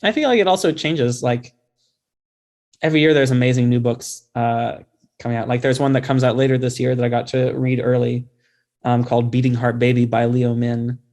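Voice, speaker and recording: A man's voice: medium pitch, steady tone, fairly quick, darkish tone. Informal, thoughtful man in conversation. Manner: informal, self-deprecating, thoughtful. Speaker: guest author. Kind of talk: casual interview conversation.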